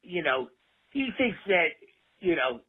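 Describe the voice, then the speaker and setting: High-voiced male caller on tinny phone. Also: big pitch swings, takes it slowly, breathy edge. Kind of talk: earnest rambling political opinion.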